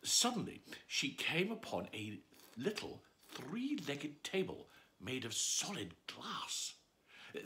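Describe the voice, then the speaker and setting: A man's voice: a little high, bright, forceful tone. A man reading a bedtime story aloud, playful and adding asides to the listener.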